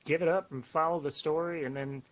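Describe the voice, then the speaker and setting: A man talking casually as a writer on a podcast, rambling and self-deprecating in an animated way. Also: a little high, brisk, poor audio. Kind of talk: casual podcast chat about writing. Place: home office, on a remote call.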